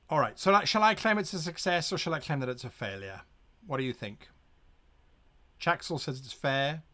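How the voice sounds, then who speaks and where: A man narrating a gamebook as game master on a live stream, with lively asides and pirate growls. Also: mid-range voice.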